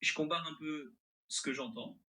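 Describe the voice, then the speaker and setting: A man's voice: fairly high pitch, normal speed, pushed and bright. A male AI assistant voice with a mixed French accent and American-sounding intonation, replying in an agreeable, accommodating, conversational way.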